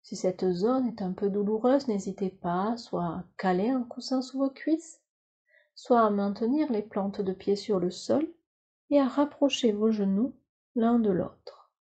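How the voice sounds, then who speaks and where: A woman teaching yoga nidra, leading a guided relaxation in a calm, soothing, instructional way with measured phrases. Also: mid-range voice, husky, dull audio.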